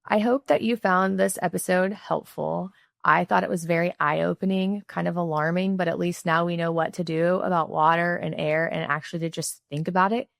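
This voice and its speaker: A woman's voice: lowish voice, fairly even intonation, normal speed, creaky, fairly bright tone, no echo, studio quality. A middle-aged woman from Missouri hosting a podcast, talking in a chatty way with lots of "like" and "right?". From Missouri, USA. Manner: chatty, frequent "like" and "right?" tags. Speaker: podcast host. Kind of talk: casual interview chat and closing sign-off.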